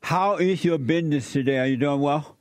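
Male interviewer, measured questions, brief affirmations, slightly high voice, dry close sound. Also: darkish tone, no echo.

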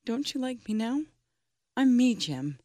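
A man voicing a young, loving wife who is anxious and pleading, in a soft, high falsetto. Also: big pitch swings, a little slow, dry recording, clean studio sound, heavily acted.

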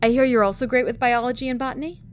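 A woman's voice: fairly high pitch, steady tone, very fast, a little hiss or hum, close to an everyday voice. A woman playing a friendly, sociable scientist on a starship crew in a sci-fi audio drama.

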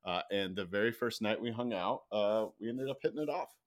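A man's voice: fairly low pitch, a little fast. A man speaking as a podcast guest, casually telling personal stories in a conversational, anecdotal way.

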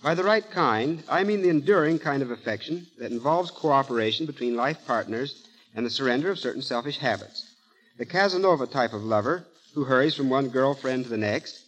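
A man's voice: mid-range voice, fairly bright tone, poor audio. A man speaking as a guest professor in a scripted 1930s radio talk, precise, didactic and formal.

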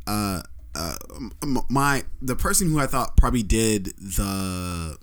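Low-voiced man reviewing films casually. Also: expressive. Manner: halting, many restarts and fillers. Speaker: podcast co-host. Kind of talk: casual film review chat.

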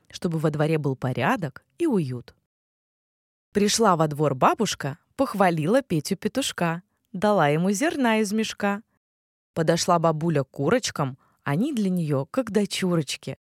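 Expressive woman reading a fairy tale. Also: fairly low pitch, dry recording, studio quality. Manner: soft, expressive, sing-song rhythm. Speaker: storyteller.

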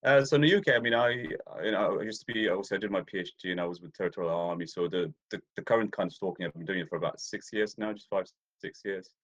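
A man's voice: low voice, very fast. A man from Ethiopia, a deer stalker as interview guest, talking casually with many fillers and restarts. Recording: dull lo-fi audio.

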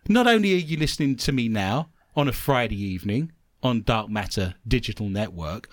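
An English man from London hosts a radio talk show in a casual, chatty way. His voice is mid-pitched but leaps up and down with lots of life, and he laughs easily. The sound is dry and close, with no room echo. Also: a little fast. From London, England. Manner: chatty and animated, often laughing.

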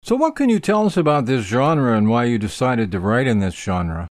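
A man interviewing an author, asking his questions with a lively, questioning lilt. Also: medium pitch, moderate pace, no echo, clean studio sound.

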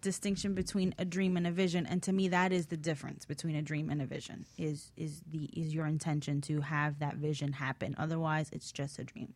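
A woman's voice: low-pitched, little pitch movement, a little fast, hardly any pauses, darkish tone. A woman guest on a radio talk show, speaking animatedly, acting out excuses and laughing often.

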